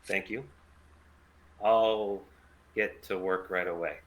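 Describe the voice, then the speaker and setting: Man acting a male smuggler pilot, low voice, terse, guarded, understated, sci-fi audio drama. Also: steady tone, takes it slowly, a bit bright. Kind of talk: script table read of sci-fi fan drama.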